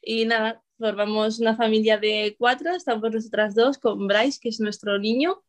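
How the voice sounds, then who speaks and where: Calm, unhurried woman from Madrid. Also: fairly high pitch. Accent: Madrid Spanish. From Madrid, Spain. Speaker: podcast guest. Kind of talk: casual conversation.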